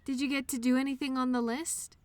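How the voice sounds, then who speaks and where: A woman playing an innocent, childlike imaginary friend in an intimate audio drama, speaking earnestly and eagerly with wistful, hesitant pauses. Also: sits high, flat delivery, a little mellow, dry recording, natural voice. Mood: gentle, questioning. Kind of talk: intimate scripted audio roleplay monologue addressed to listener.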